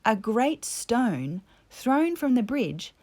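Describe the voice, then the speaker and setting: A woman narrating a children's story as a storyteller, in a measured, expressive way. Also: on the high side.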